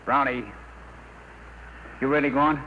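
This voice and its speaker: An actor in a 1950s radio drama playing a brash, self-interested radio technician with a slangy, sardonic, stubborn way of talking. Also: male voice, mid-range voice, steady tone, slow pace, lightly acted. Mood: wisecracking. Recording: muffled, noisy 1950 radio recording.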